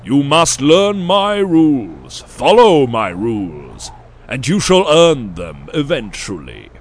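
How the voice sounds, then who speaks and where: Man doing a croaking storybook sun elder, grandiose, coaxing, slow and drawn out, swooping pitch. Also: huge pitch swings, full character voice. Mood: preaching. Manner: booming, theatrical proclamations. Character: towering sunflower-like male elder, grandiose and coaxing. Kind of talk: storybook reading.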